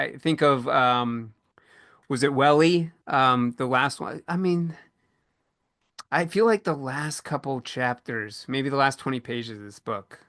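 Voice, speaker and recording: A man hosting a podcast panel, speaking earnestly and reflectively, often halting as he searches for the right words. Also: medium pitch, pushed and bright, dry recording, clean studio sound. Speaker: podcast co-host and panel moderator. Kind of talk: reflective panel discussion about books.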